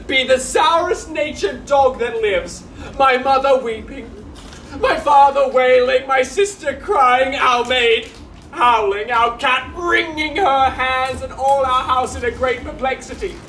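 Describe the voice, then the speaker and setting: A man's voice: very high voice, sharp tone, exaggerated voice. An actor on stage playing a comic, mock-tearful male servant who clowns with his shoes and wails in bursts, in classical verse. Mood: mock-grieving. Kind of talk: live theatre performance of classical verse. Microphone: distant room mic. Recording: roomy, from far off, faint hiss.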